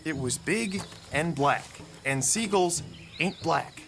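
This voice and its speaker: Radio drama: an edgy young private, higher pitch, anxious questions. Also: male voice, lively intonation, takes it slowly, vocal fry, fairly bright tone, a little hiss or hum, heavily acted. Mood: nervous. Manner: nervous, questioning. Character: jumpy young soldier.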